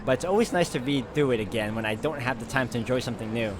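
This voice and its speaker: A man hosting a game walkthrough, reading story narration aloud in an expressive, conversational way with casual asides. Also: mid-range voice, fast, noisy background. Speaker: video game walkthrough host.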